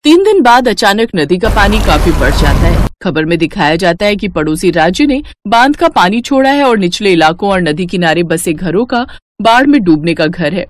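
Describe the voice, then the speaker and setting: A woman's voice: on the low side, lively intonation, normal speed, a little hiss or hum. A woman narrating a scripted moral story as its narrator.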